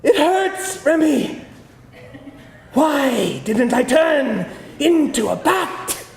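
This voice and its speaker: Melodramatic vampire played by an actor at a table read, slow and grandiose, echoey room. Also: high voice, big pitch swings, creaky, exaggerated voice. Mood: pained, plaintive. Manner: theatrical, grandiose declaiming. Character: melodramatic, grandiose man turned vampire, heroic and self-pitying. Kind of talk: live screenplay table read. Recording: echoey live room recording.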